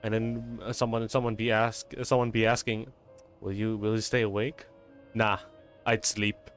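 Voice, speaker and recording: A man's voice: lowish voice, level tone, normal speed, soft background music. A young man who streams games, reacting casually in slangy, sweary talk with stammers. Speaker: game streamer. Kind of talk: casual joking live reaction.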